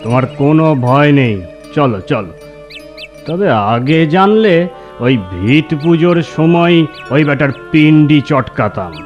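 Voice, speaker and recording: A man voicing a confident, reassuring village priest in a cartoon, speaking in an assured, unhurried way. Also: on the high side, lively intonation, muffled recording, faint music behind. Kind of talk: cartoon voice acting.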